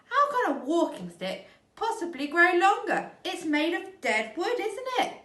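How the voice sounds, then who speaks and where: A woman voicing a shrill, indignant old woman, recorded in an echoey room from a distance. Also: sing-song, a little slow, fairly bright tone, full character voice. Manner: shrill, outraged.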